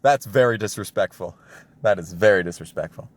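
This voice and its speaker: A man's voice: sits low, fairly quick, no room sound. Profane, self-deprecating young man ranting casually. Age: young adult. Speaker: storytelling video creator. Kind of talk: casual personal storytime rant.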